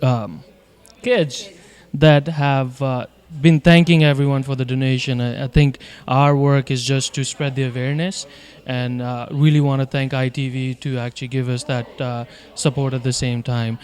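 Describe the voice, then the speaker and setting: A man's voice: medium pitch, flat delivery, normal speed, a little mellow. A man co-hosting an event segment as a volunteer, talking in a friendly, rambling way with many fillers. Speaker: volunteer co-host.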